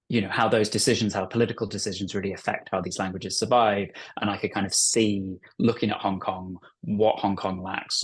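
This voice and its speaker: A man's voice: low-pitched, husky. A man from North Wales whose first language is Welsh, an author and journalist answering interview questions with many fillers and restarts. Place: family home, remote call.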